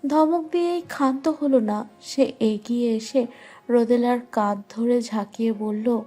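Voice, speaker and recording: A woman's voice: a little high, measured pace, dark tone. A woman narrating a scripted romantic story. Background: faint music.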